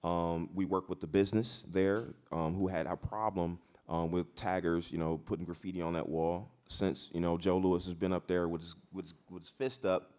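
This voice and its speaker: Deep-voiced male panelist from Detroit, halting delivery, lots of "you know". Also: flat delivery, darkish tone. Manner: halting, many fillers and repeats. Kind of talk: panel discussion.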